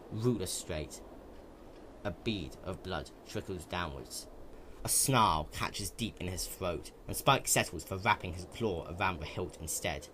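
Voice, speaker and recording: A man reading fantasy fiction aloud as a dramatic, intense narration. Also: sits low. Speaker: amateur story reader. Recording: clear steady ambient sound-effect noise behind. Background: continuous ambient sound effect.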